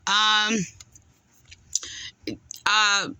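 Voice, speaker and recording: A woman caller making a complaint in unscripted speech, aggrieved and insistent, halting and rambling as she goes. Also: mid-range voice, completely flat delivery, bright, forceful tone, clear, resonant tone, dry recording.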